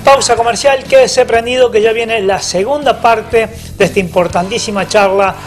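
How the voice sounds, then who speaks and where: A high-pitched man announcing on the radio in a lively announcer manner. Faint music plays beneath his voice. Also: moderate pace. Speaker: radio host.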